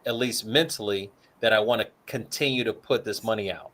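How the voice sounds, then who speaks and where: A man's voice: fairly low pitch, brisk, sharp tone. Easygoing male co-host talking money. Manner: works through figures aloud, many fillers. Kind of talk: casual financial talk show chat.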